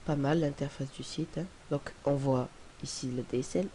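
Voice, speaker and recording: Man with a cold, mellow voice. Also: mid-range voice, steady tone, moderate pace. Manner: rambling, hesitant, many fillers and restarts. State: has a cold. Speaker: tutorial presenter. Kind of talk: casual screen-recording tutorial. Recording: faint hiss on home recording.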